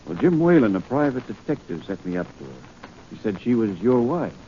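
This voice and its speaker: A man's voice: medium pitch, very animated, fairly quick, natural voice. Male actor for indignant, self-important politician in 1940s radio drama; muffled, slightly noisy recording.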